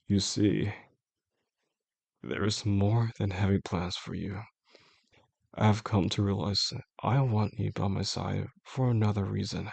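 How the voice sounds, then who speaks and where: A male voice actor playing an obsessive, calmly menacing boss in an intimate audio roleplay, speaking in a slow, deliberate, coaxing way with formal wording and a gravelly, husky voice. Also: on the low side, dry recording, full character voice. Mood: intense, confessing. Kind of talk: intimate scripted roleplay monologue.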